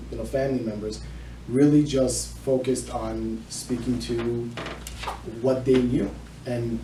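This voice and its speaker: An echoey, slightly noisy room recording of a man being interviewed. He is middle-aged and has a mellow voice. He explains things at length as a loan officer. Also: medium pitch. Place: indoor room with small audience. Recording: echoey room, distant mic, faint noise.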